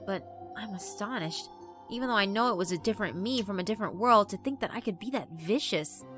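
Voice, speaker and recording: Woman voicing a meek, bright-voiced spirit girl. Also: mid-range voice, lively intonation, brisk, lightly acted. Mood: astonished, subdued. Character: meek, apologetic, humble young yokai girl. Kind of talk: dramatic visual novel voice acting. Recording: music behind the voice.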